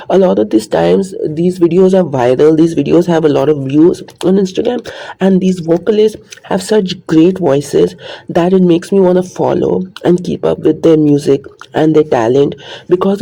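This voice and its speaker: Man, casual vlogger monologue, earnest and rambling, slightly high with a gravelly edge. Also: warm tone, rough recording.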